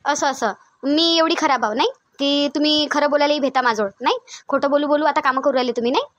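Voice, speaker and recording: A woman's voice: sits high, quick pace, a bit bright, dry recording. A woman voicing a bossy, suspicious older mother-in-law in a cartoon family skit, insistent and repetitive, with a Vidarbha Marathi accent. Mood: aggrieved, scolding. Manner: scolding, insistent, repeats her demands.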